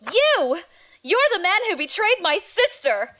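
A woman's voice: extremely high pitch, sing-song, measured pace, bright, forceful tone, a little hiss or hum, full character voice, low-quality recording. A woman in an audio drama playing a fierce young girl fighter out to avenge her sister. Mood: outraged.

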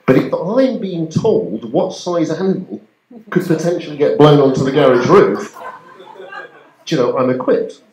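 A man's voice: a little high, lively intonation, moderate pace, a little mellow. A man performing a stand-up comedy routine to a live audience, with a wry manner and a mock forecast-reading delivery. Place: community centre hall, live audience. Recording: echoey hall with background noise.

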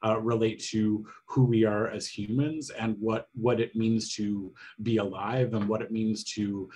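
A man's voice: fairly low pitch, fairly even intonation, unhurried, a little mellow, slightly rough. A middle-aged man talking in a rambling, reflective way as a guest on a video call panel. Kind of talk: casual panel discussion. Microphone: video call microphone.

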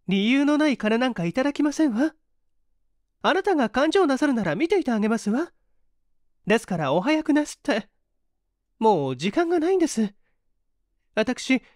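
A woman plays a young woman in an audio story, high-pitched and prim. She is proud and prickly, with anxious, old-fashioned feminine manners and a lively swing in her delivery. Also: brisk, pauses often, dry recording, studio quality. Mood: prim, impatient.

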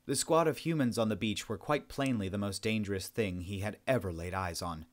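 A man's voice: on the low side, brisk. A man reading a science fiction story aloud as a scripted narration. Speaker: audiobook narrator.

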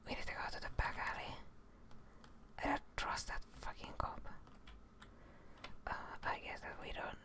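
A woman's voice: normal speed, long pauses. A young woman whispering casual, sweary ASMR commentary as she plays a video game, muttering to herself close to the microphone.

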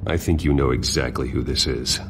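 A serious, wealthy businessman in a video game. His voice is extremely deep and his delivery restrained and determined. Music plays softly behind him. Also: fairly even intonation, brisk. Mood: firm.

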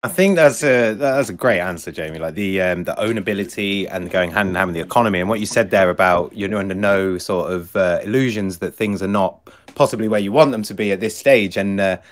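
Male interview host, lowish voice, friendly questioner. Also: fairly quick. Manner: friendly, asks long questions. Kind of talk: hosting an online interview.

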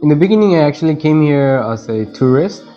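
Young man, hesitant, dark mellow voice, muffled call audio. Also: a little high, lively intonation, a little fast, faint music behind. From India. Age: young adult. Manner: hesitant, many fillers and restarts. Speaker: interviewee, student. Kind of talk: video call answers.

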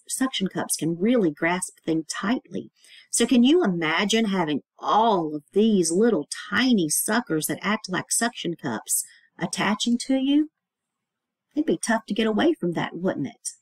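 A woman reading aloud to young children as a teacher, in an expressive way, adding simple asides and checking questions. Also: mid-range voice, a little slow, husky, no echo.

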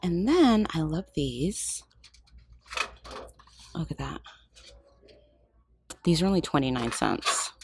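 A woman filming a shop-with-me vlog in a craft store aisle on a handheld phone, excited and gushing, often dropping to a whisper. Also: deep voice, slow pace, gravelly, pauses often, background noise. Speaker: shopping vlogger.

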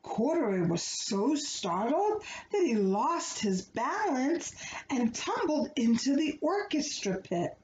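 A middle-aged woman reads a children's storybook aloud in an expressive, deliberate way. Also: sits high. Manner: expressive, deliberate phrasing for young listeners. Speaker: children's book reader. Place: small living room, indoors. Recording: roomy, dull home recording with background noise.

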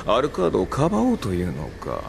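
An actor voicing a cruel incubus demon in a Japanese radio drama, taunting and contemptuous in a theatrical way. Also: male voice, mid-range voice, wildly swooping pitch, quick pace, creaky, noisy background. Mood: gloating menace. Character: gloating, cruel incubus demon villain with male form. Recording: studio drama with music behind.

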